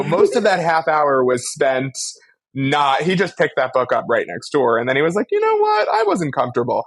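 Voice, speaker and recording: A man's voice: on the high side, no room sound. A man co-hosting a podcast, chatting casually about a favorite show in an effusive, playfully theatrical way. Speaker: podcast co-host. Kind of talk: casual fan discussion of an audio drama.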